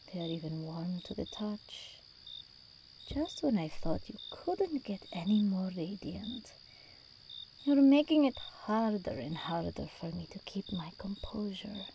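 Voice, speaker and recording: A woman's voice: mid-range voice, vocal fry, mellow, slight background noise. A woman playing a regal, seductive demon princess in an ASMR roleplay, theatrical and teasing, commanding yet tender with her endearments.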